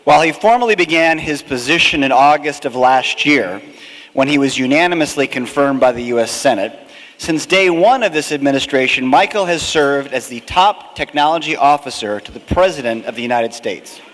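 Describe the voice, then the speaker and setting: A man introducing a guest speaker at a conference, reading his prepared remarks in a measured, deliberate way from a podium. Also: mid-range voice, a bit bright. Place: large hotel ballroom. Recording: long hall reverb.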